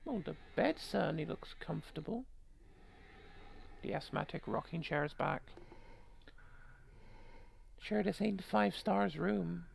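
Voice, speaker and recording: A woman's voice: on the low side, measured pace, gravelly, long pauses, a little hiss or hum. A woman streaming a game, reading the story aloud with irreverent, sweary, joking commentary. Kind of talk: game playthrough, narration mixed with casual commentary.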